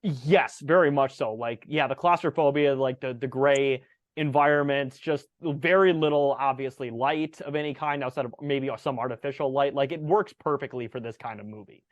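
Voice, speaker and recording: A man in his thirties co-hosting a casual movie review podcast, relaxed and full of fillers as he reads out film facts. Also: medium pitch, moderate pace, no room sound, highs cut off. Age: 30s. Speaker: podcast co-host.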